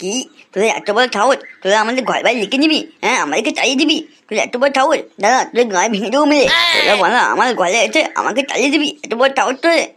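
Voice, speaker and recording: A woman voicing a bold, whiny little boy with a loud, emphatic delivery in a comedy cartoon. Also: a little high, expressive, rapid-fire, breathy edge, soft background music. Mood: defiant. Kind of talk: adult comedy cartoon dialogue.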